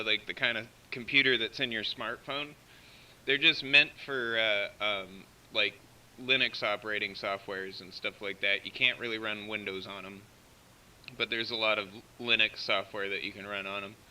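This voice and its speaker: A man's voice: medium pitch, pushed and bright. An American man chatting casually over CB radio, explaining technical things in an informal, step-by-step way. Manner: explanatory, informal, walks through tech details. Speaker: CB radio operator running a live stream. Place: CB radio station, heard through live feed. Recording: distant-sounding CB radio feed.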